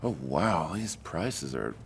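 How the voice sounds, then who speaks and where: A man's voice: very deep voice, expressive, takes it slowly, gravelly, a little hiss or hum, heavily acted. An actor in an audio drama playing a casual, penny-pinching man who is guarded beneath his folksy talk. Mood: grudging. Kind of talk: audio fiction drama acting.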